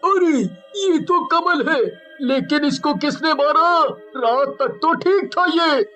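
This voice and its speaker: A puzzled village man from a horror tale, acted by a man. He speaks slowly in a bright, forceful voice. He comes across as flustered and helpless. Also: very high-pitched, faint music behind, pitched above the performer's own voice, exaggerated voice. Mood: startled.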